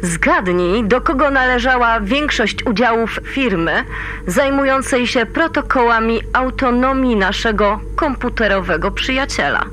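An actress playing a wry, authoritative female mission controller in a sci-fi audio drama, talking in a brisk colloquial way. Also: on the high side, lively intonation, a bit bright, faint music behind. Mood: reassuring, wry.

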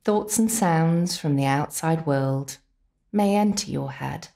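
A woman narrating a scripted guided visualisation in an unhurried, soothing way, with deliberate pauses. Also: low-pitched, vocal fry, mellow. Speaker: complementary therapist leading guided relaxation.

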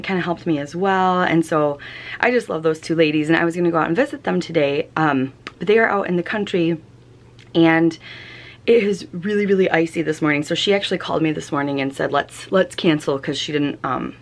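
Low-voiced young woman, chatty vlogger. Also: creaky. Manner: chatty, frequent ums and restarts. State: tired, fighting off a cold. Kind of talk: casual chat. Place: home, small room. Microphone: camera close up.

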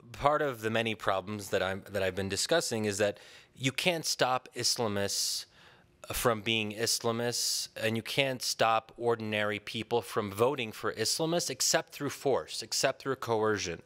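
A man in his thirties from Pennsylvania with an American accent, giving a measured, thoughtful conference talk at a podium in a large hall, pausing often with ums and restarts. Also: on the low side, a bit bright. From Pennsylvania, USA. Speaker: conference speaker. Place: large hall with a seated audience. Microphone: podium microphone.

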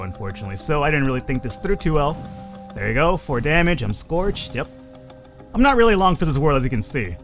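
A young man giving casual, rambling, self-deprecating commentary over a game he is playing. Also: medium pitch. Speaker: gaming channel host.

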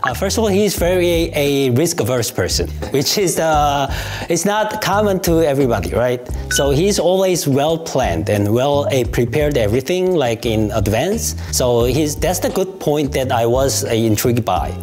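Middle-aged man, halting with many fillers. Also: medium pitch, animated, darkish tone. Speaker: professor, mentor. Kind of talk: interview answers. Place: dim studio set with bookshelves. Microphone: lapel mic. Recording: light music bed under voice.

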